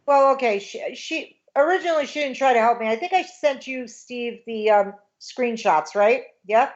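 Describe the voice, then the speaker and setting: A woman's voice: a little high, normal speed, dull audio. A woman in her fifties, a guest on a casual livestream chat, talking indignantly in a rush with many fillers. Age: 50s.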